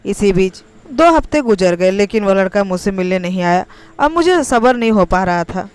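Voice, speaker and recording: A woman's voice: mid-range voice, expressive, moderate pace, a little mellow, clear, resonant tone. A woman reading a scripted, first-person emotional story as its narrator.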